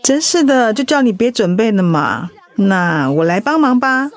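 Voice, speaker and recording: An actress playing a warm, reassuring close friend in a radio drama, expressive and encouraging. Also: female voice, medium pitch, moderate pace. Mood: concerned, reassuring.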